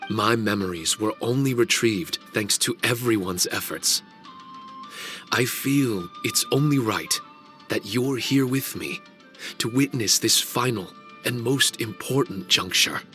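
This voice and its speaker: A man voicing an ancient male guardian spirit in a video game cutscene, formal, measured and grave. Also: on the low side, fairly bright tone, faint music behind. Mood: solemn.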